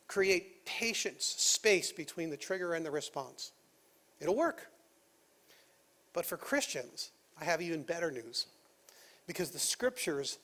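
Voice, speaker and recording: A pastor with a notably high voice delivers a Sunday sermon in a church. He talks in a relaxed, conversational way, full of rhetorical questions, and lowers his voice to stress the key points. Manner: conversational preaching with rhetorical questions, dropping to quiet emphasis. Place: church sanctuary.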